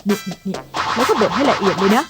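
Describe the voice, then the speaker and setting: A woman's voice: mid-range voice, quick pace, natural voice. A woman voicing an inventive, slightly wary companion in an educational children's cartoon, over music. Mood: curious, pleased. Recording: loud music behind the voice.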